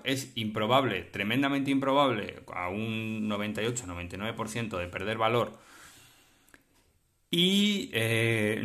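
A man with a Spain Spanish accent chatting off the cuff as a collector explaining things, halting and rambling as he checks in with listeners. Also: mid-range voice, fairly bright tone. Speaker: vlogger and card collector. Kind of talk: casual off-the-cuff explanatory chat. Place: indoors at a table, handling cards.

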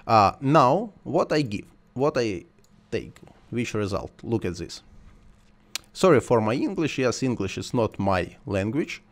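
Man, Russian or Ukrainian first language, software tutorial, mid pitch, thinks aloud, plain halting English. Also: big pitch swings. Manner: thinks aloud while working, simple non-native phrasing. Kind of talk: screen-recorded software tutorial.

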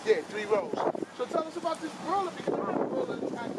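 A man's voice: extremely high pitch, wildly swooping pitch, fast talker. Upbeat young man at an outdoor car meet. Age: young adult. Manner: upbeat, chatty, asks lots of questions. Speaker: car vlogger. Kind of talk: casual chat at a car meet. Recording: loud wind and engine noise.

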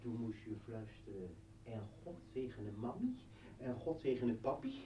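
A man plays a volatile, sardonic militant poet in a radio drama. He taunts slowly and theatrically, with big swings in pitch. The recording is dull, set back in an echoey room. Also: expressive, recorded from a distance, slight background noise, lightly acted. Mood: jeering. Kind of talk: Dutch radio play acting.

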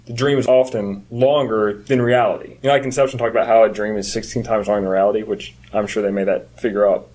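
A man's voice: fairly low pitch, darkish tone. A man co-hosting a podcast in a casual, conversational style, explaining things and giving his opinions. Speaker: podcast co-host. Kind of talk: casual chat.

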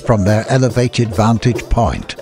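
A man's voice: lowish voice, unhurried, music in the background. A man reading a scripted adventure story as an audiobook narrator.

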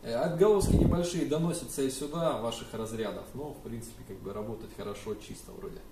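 A man's voice: medium pitch, quick pace. A young man working as an amateur radio operator, making contacts and spelling out call signs. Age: young adult. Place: small indoor room with radio desk and computers. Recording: echoey room, distant mic, faint noise.

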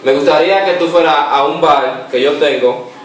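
A man's voice: a little high, a little hiss or hum. Young man making a prank call. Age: young adult. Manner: halting, repeats himself, stifles laughs. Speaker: prank caller. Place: small living room, seated on a couch. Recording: echoey room, far from the mic.

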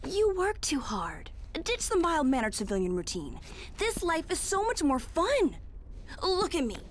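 A woman's voice: very high-pitched, wildly swooping pitch, unhurried, slight background noise, exaggerated voice. A woman voicing a flirty, teasing, carefree thrill-seeker in a video game, with a sultry and taunting manner.

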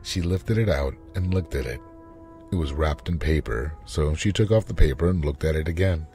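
A man narrating a scripted story in a measured, dramatic manner. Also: rumbling low, warm tone, soft background music.

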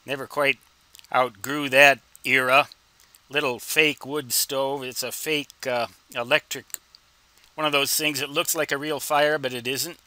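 Very bright-voiced man giving an informal tutorial. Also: mid-range voice, flat delivery, no room sound. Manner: wry, folksy humor, deliberate phrasing. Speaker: instructor answering reader questions.

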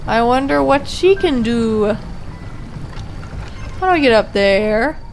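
A woman's voice: fairly high pitch, animated, slow pace, slight background noise, low-quality recording. A young woman giving casual gameplay commentary, chatty and talking at the characters, her voice a little off from allergies. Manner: chatty, talks to the game characters, mild exclamations. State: voice slightly off from allergies. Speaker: let's play gamer. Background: game music.

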